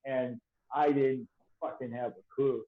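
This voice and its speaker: A man with a hoarse voice talks off the cuff as an activist on a panel stream. He rambles and swears freely in loose slang. The sound is dry and slightly dull. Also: mid-range voice, steady tone, measured pace, no echo. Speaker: activist journalist on a livestream panel. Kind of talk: casual impassioned panel chat.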